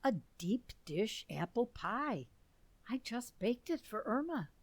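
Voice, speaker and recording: A woman acts out a kindly middle-aged mother from an old-time radio comedy. She fusses over her family in a highish, very expressive voice. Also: slow pace, no echo, full character voice. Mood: helpful.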